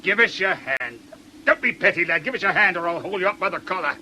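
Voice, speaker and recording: An actor playing a brisk, commanding policeman in a radio drama, giving gruff, sardonic orders in a British accent. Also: high voice, animated, sharp tone, a little hiss or hum, exaggerated voice. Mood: urgent commanding.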